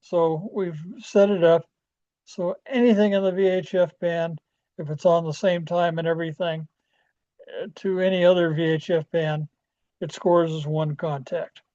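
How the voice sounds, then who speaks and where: A man giving an informal training presentation in a halting, folksy, plain-spoken way, with frequent hesitations. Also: fairly high pitch, fairly even intonation, dark tone. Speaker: amateur radio club presenter. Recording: dull, lo-fi audio.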